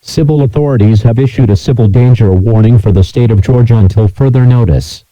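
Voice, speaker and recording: A man's synthesized voice reading scripted emergency alert messages in a flat, measured, robotic delivery. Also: mid-range voice, low-quality recording.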